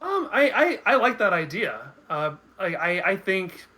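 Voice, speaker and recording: A man in his thirties with a bright, forward voice pitched a little high. He speaks as a guest in a casual podcast chat, hesitating and repeating words as he thinks aloud. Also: big pitch swings, unhurried. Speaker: guest commentator.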